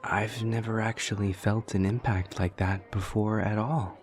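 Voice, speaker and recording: A man playing an awkward, earnest, literal-minded demon college student in an audio roleplay, speaking in a stilted, over-precise, deadpan way with hesitant pauses. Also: on the low side, lightly acted. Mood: earnest, puzzled. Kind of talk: intimate audio roleplay acting.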